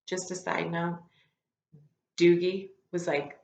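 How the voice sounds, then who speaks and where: A woman reading a story aloud like a teacher to students, expressive and informal, with casual asides. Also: lowish voice, slow pace, creaky. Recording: echoey room, slightly dull lo-fi sound.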